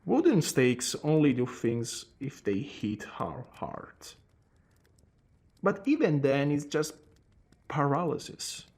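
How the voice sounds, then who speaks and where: Man acting ancient male vampire, gravelly and creaky, courteous and wry, hushed ASMR roleplay delivery, swooping lively pitch. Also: exaggerated voice. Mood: informative, wry. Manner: slow, hushed, drifting into whispers, theatrical pauses. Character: courteous, centuries-old male vampire captor, calm and wry. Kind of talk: ASMR roleplay addressed to the listener.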